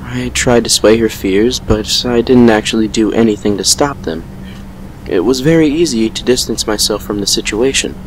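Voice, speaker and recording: A man playing a courteous, secretive vampire lord in an audio drama, speaking in a measured, formal, confiding way. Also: fairly low pitch, slight background noise, natural voice, rough recording. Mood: reflective, grave. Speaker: voice actor in a fan fiction audio drama. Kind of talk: dramatic character dialogue and storytelling.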